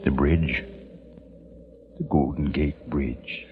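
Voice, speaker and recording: An actor playing a very old, nearly blind patriarch in a 1940s radio drama, wistful and earnest, halting and repeating his phrases. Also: very deep voice, fairly even intonation, background noise. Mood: wistful, yearning. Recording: muffled old radio recording with music.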